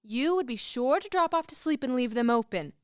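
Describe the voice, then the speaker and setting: Actress playing fussy mother, genteel, high-pitched, smooth, radio play. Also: animated, a little fast, no room sound, clean studio sound. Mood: fond, firm. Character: genteel, fussing mother, mistress of the house. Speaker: actor in a student radio play.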